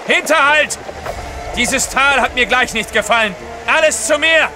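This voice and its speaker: An actor playing a bold Russian courier in disguise, decisive and commanding, in an audio drama. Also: male voice, extremely high pitch, a little slow, background noise. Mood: urgent. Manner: decisive, commanding, sure of himself. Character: bold, resolute Russian courier posing as merchant guide. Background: dramatic music.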